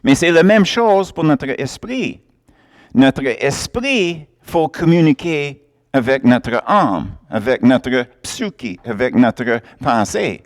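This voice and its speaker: A man's voice: mid-range voice, animated, takes it slowly. A man preaching a sermon, emphatic and often laughing as he acts out little exchanges.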